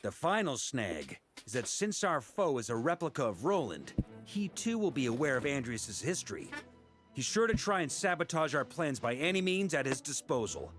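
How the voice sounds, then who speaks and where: An actor playing a confident male commander in a video game, briefing the troops in an authoritative, deliberate, rallying way. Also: mid-range voice, very animated, fairly bright tone, soft background music. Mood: resolute briefing.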